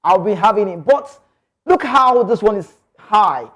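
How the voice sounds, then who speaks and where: A man's voice: high voice, animated, measured pace, fairly bright tone. A Nigerian man hosting a sports talk show, giving passionate, opinionated football commentary full of emphatic rhetorical questions.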